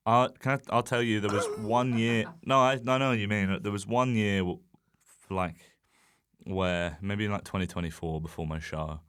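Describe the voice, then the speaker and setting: Deep-voiced man, rambling and self-deprecating. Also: steady tone, moderate pace, fairly bright tone, clear, resonant tone, no echo. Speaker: comedian guest on a podcast. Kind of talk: casual chat.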